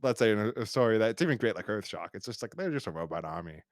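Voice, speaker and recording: A man hosting a podcast in a casual review chat, rambling and halting with repeated false starts. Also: on the low side, lively intonation, dry recording, studio quality. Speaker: podcast host.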